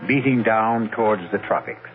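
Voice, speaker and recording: A man hosting and narrating a radio drama, telling the scripted story in an ominous, deliberate, dramatic way. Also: on the low side, steady tone, phone-quality sound. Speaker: host and narrator. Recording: band-limited old radio recording. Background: music.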